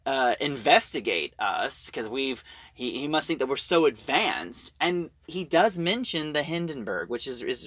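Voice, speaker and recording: A man's voice: on the high side, fairly bright tone, dry recording. A man giving a casual recap of a story as an audio drama reviewer.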